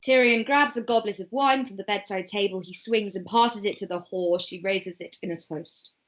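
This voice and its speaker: A woman's voice: medium pitch, normal speed, a bit bright. A woman narrating a table read, reading stage directions aloud and at times stumbling over words.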